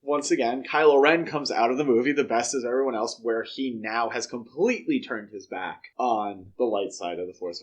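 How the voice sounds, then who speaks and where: A man co-hosting a podcast, casually discussing films in a conversational, explanatory way. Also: mid-range voice. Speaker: podcast co-host.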